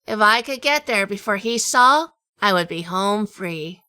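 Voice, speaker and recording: Wry noir detective lady played by a woman, bright n smooth, hardboiled audio drama, very dry recording. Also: mid-range voice, animated, measured pace, no room sound. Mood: tense. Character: Junie, wry, tough female sleuth in hardboiled noir. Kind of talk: first-person noir audio drama narration.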